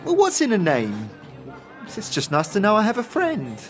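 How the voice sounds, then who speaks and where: A man's voice: high-pitched, huge pitch swings, normal speed, a bit bright, soft background music, some chatter behind, exaggerated voice. A man voicing a shy, lonely, eager young woman who rambles and stammers in a fantasy audio drama. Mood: delighted, shy. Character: shy, lonely, chatty, eager young female market girl.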